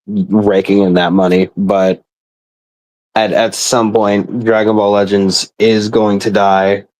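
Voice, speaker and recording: A man's voice: lowish voice, flat delivery, takes it slowly, a little mellow, dry recording, low-quality recording. A young man on a casual, rambling gamer rant, exasperated, blunt and sweary.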